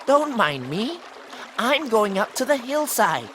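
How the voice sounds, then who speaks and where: A man's voice: very high-pitched, very animated, takes it slowly, noisy background, pitched above the performer's own voice, heavily acted. A man voicing the tiniest, meek young billy goat in a storybook reading. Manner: meek and innocent. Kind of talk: storybook read-aloud.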